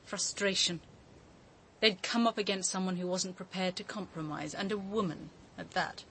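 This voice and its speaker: An actress in a radio drama playing a forceful, indignant woman with a blunt, emphatic way of speaking. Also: fairly low pitch, fairly even intonation, normal speed, a little hiss or hum. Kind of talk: BBC radio drama dialogue.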